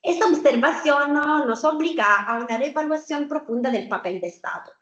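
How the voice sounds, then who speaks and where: A woman's voice: sits high, expressive, a bit bright. Italian woman giving an echoey seminar talk. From Italy. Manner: earnest, rhetorical questions, measured with fillers. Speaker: guest speaker. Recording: echoey room, muffled lo-fi sound.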